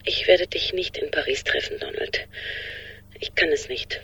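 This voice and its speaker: Breathy, anguished woman pleading. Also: low-pitched, a bit bright, heavily acted, low-quality recording. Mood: anguished confession. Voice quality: breathy, whispery. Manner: halting, pleading, repeating herself. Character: anguished young woman leaving a tearful breakup voicemail. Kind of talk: radio drama acting.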